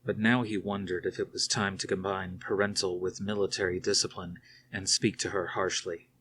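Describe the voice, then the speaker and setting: A man narrating a science fiction novel aloud in a steady, plain reading voice. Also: sits low, normal speed, slight background noise. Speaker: audiobook reader.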